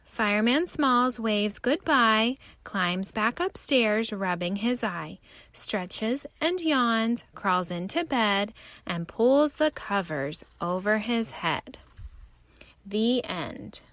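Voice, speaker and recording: A woman reading a story aloud for young children in an expressive, theatrical way. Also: mid-range voice, takes it slowly, dry recording. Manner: animated, theatrical delivery.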